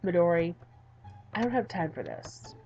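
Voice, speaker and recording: A woman playing a weary male game developer in a visual novel, with the voice dropped low. He is deadpan, condescending and exasperated, heard through a slightly dull mic. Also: moderate pace, faint music behind.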